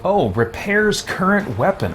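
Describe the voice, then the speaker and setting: Animated man narrating his own gameplay. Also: sits high, a little slow, over music. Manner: talks to himself, thinking aloud, mild folksy exclamations. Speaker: let's play host, gamer. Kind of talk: casual gameplay commentary.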